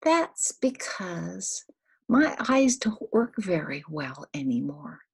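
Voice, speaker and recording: An older woman reading a picture book to very young children. Her voice is husky and breathy, swinging widely in pitch, and she takes her time. She talks simply, putting questions and small prompts to the child. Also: sing-song, slow pace. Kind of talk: interactive picture-book read-aloud for toddlers.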